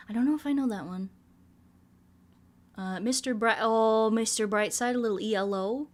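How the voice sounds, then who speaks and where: A woman streamer in casual livestream chat with her audience, playful and rambling, often repeating her phrases in a singsong way. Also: on the high side. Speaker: streamer singing requests from chat.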